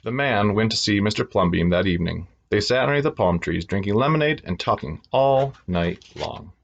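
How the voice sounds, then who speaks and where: A man reads a children's story aloud. His voice sits fairly low but moves around with lively, expressive intonation. The recording is a bit muffled. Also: brisk, vocal fry.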